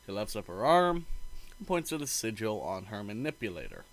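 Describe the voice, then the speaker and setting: A man's voice: fairly low pitch, big pitch swings, fairly quick. A man reading a visual novel aloud in a let's play, narrating the story and acting out the dialogue expressively.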